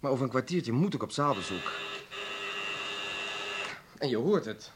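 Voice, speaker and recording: An actor playing a stubborn, evasive hospital surgeon in a radio play, terse and deflecting. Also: male voice, mid-range voice, lively intonation, normal speed, natural voice. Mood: evasive, placating. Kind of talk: Dutch radio play acting. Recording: noisy old radio play recording.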